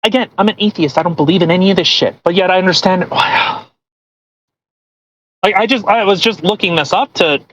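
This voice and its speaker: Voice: medium pitch, fairly quick, fairly bright tone, slight background noise. A podcast host reading aloud and commenting casually, blunt and argumentative, with plenty of swearing.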